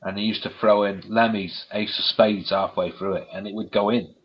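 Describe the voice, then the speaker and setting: A man's voice: lowish voice, level tone, moderate pace. Man on a dull lo-fi line. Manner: swearing, laughing, colloquial British slang. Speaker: stream co-host. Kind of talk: casual chat. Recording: dull lo-fi sound.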